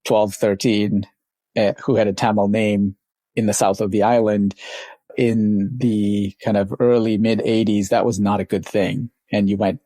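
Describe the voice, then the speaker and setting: A man's voice: on the low side, flat delivery, measured pace, warm tone, dry recording. A middle-aged man from Sri Lanka, a company founder telling his life story in a reflective, rambling way as an interview guest. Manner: reflective, rambling, with little laughs.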